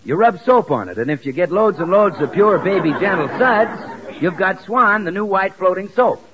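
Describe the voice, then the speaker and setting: A fairly high voice on a muffled vintage radio recording: a cheerful, slippery announcer pal. He talks like an upbeat salesman in 1940s radio comedy style. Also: animated, normal speed, crowd noise. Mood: cheerful selling. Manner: upbeat, smooth-talking salesman. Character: cheerful, evasive announcer friend plugging the sponsor.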